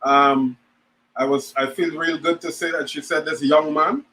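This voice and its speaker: A man in his forties, a guest on an online talk show, speaking hesitantly with many pauses and restarts. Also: on the high side, fairly even intonation, bright, forceful tone, a little hiss or hum, low-quality recording. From Trinidad and Tobago. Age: 40s. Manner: hesitant, lots of ums and restarts. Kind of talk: casual chat on a video call.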